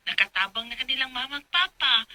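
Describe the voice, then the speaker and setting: Sharp, brazen elder sister. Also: female voice, high-pitched, fast, no room sound. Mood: wheedling. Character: wheedling, hot-tempered elder sister, brazen borrower. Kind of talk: Filipino radio drama acting.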